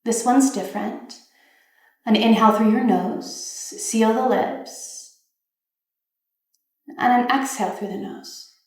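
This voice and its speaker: A woman with a slightly high voice leads a guided meditation in a very reverberant room. She gives gentle, soothing instructions, unhurried and spaced with pauses.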